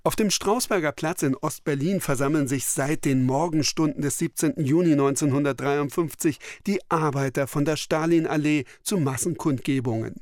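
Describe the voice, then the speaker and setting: A man's voice: fairly high pitch, moderate pace, few pauses, a little mellow, no room sound, studio quality. A man narrating a scripted radio documentary in pronunciation. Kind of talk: scripted present-tense narration.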